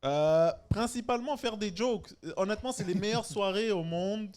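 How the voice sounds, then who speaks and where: Playful man in his thirties, slightly high voice. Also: normal speed, darkish tone, smooth. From born in France, long settled in Quebec. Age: 30s. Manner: playful, joking. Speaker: stand-up comedian, podcast guest. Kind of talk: casual chat.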